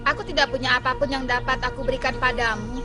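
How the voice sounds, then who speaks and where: A woman's voice: high-pitched, fairly even intonation, quick pace, natural voice. A woman voicing a young orphan boy who speaks earnestly and warily, with music playing behind. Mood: wary. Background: background music.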